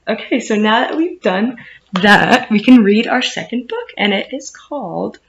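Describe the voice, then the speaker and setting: A woman hosting storytime as a children's librarian, expressive and encouraging as she reads and explains to little ones. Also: a little high, measured pace, creaky. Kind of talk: storytime read-aloud and teaching rhymes to children. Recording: echoey room, slightly muffled sound.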